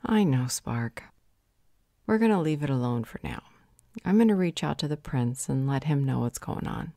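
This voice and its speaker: Woman acting a low, mellow, thoughtful vampire. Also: lively intonation, moderate pace, no room sound, studio quality. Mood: firm, wry. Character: thoughtful, strong-willed female vampire turned werewolf's mate. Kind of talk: roleplay audio drama acting.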